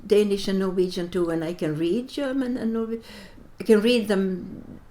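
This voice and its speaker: An older woman from Sweden, a native Latvian speaker, answering interview questions reflectively in halting English as she searches for words. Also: mid-range voice, fairly even intonation, warm tone. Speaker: interview guest.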